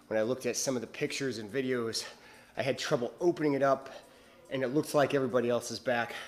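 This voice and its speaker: A man's voice: mid-range voice, fairly even intonation, fast. A male bodybuilder vlogging to his viewers in casual chat, rambling and hesitant, with lots of fillers and restarts.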